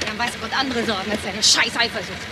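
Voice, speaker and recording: A woman's voice: on the high side, fast, lightly acted. An actress playing an exasperated, biting girlfriend in a crime radio play. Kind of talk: German crime radio drama acting. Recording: noisy car engine ambience.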